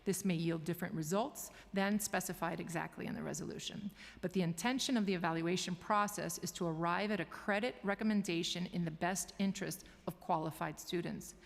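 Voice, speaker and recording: A woman's voice: on the low side, fairly quick, creaky. A woman university administrator reading prepared testimony formally in a council chamber. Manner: formal, read from a statement. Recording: long reverb of a large hall.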